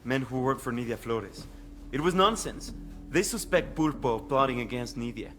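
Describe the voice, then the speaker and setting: An actor playing a wary cartel associate who talks informally and with suspicion. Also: male voice, mid-range voice, expressive, moderate pace, natural voice. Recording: music behind the voice.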